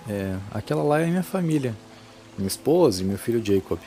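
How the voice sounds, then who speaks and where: A man playing an earnest adult visitor in an audio drama, halting and subdued in a conversational way. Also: mid-range voice, big pitch swings, warm tone, soft background music. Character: earnest, hesitant adult man, visiting long-lost half-brother.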